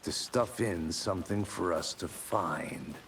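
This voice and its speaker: An actor playing a gruff, world-weary FBI agent in a video game, with a dry, grumbling, laconic delivery. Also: male voice, low-pitched, slow pace, fairly bright tone, full character voice. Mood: uneasy. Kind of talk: cinematic video game acting. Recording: distant mic, faint ambient noise.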